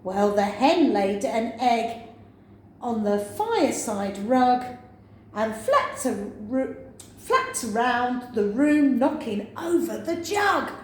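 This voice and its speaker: A woman reading a children's picture book aloud as a storyteller, with an expressive sing-song delivery. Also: fairly high pitch, takes it slowly. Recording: very echoey room, faint noise.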